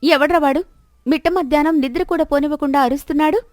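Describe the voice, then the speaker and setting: Woman acting as scornful village wife, high-pitched, bossy, greedy, nagging, dry storybook recording. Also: normal speed, dry recording, close to an everyday voice. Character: haughty, scheming, greedy wife of an elder brother.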